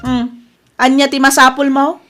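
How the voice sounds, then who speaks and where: A woman's voice: high-pitched, level tone, a little slow, loud music behind the voice. A woman acting a self-justifying, resentful grown daughter with a curt, dismissive manner, in an Ilocano-accented radio drama. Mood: brusque.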